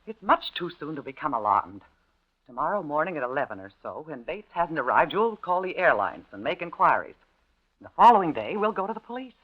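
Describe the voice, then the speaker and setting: A woman's voice: sits low, sing-song, brisk. An actress playing a cold, scheming American woman in a 1950s radio drama, curt, commanding and composed. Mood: bossy, assured. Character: cold, domineering, scheming woman partner in crime. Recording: dull, lo-fi 1950s radio recording.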